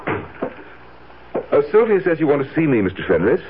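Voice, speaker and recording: An actor playing an anxious, halting husband afraid of himself in a 1970s radio drama. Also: male voice, fairly high pitch, wildly swooping pitch. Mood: guarded. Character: troubled husband afraid he will kill his wife. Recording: tinny, noisy old radio recording.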